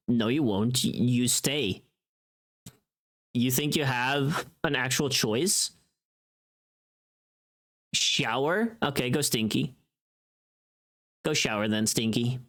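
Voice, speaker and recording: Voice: medium pitch, a bit bright. A livestreamer chatting casually with viewers in a playful, teasing, rambling way. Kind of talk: casual chat with live viewers.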